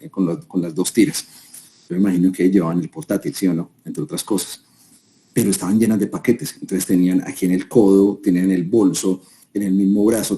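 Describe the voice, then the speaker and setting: Colombian man with a very dark voice. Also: deep voice. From Colombia, Quindío. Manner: conversational, asks the audience rhetorical questions. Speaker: speaker at a business seminar. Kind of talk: live talk to an audience. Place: room with a live audience.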